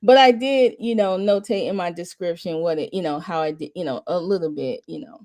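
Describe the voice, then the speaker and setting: Low-voiced woman hosting a rambling chat. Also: a little fast. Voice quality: says her voice runs monotone then jumps high. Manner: rambling, restarting often, laughing between thoughts. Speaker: host of a watch party stream. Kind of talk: casual chat.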